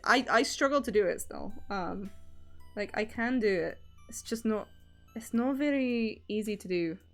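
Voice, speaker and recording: A young woman from Scotland with a mixed Edinburgh and Canadian accent, chatting candidly and loosely as a streamer. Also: a little high. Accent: mixed Edinburgh Scottish and Canadian. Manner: rambling and candid, with loose swearing. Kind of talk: casual stream chat.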